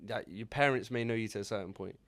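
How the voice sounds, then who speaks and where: Young man, low voice, dry close sound, reflective podcast advice. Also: fast, no echo. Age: 20s. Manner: reflective, earnest, fillers like "you know". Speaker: podcast co-host. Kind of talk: casual chat, giving advice.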